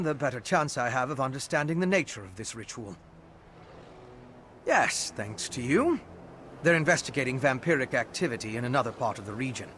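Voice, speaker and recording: An actor playing an earnest, inquisitive male investigator in a fantasy video game, speaking in a measured, articulate, studious way. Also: mid-range voice, a bit bright, slight background noise, close to an everyday voice. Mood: curious, focused. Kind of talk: video game character dialogue. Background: game music.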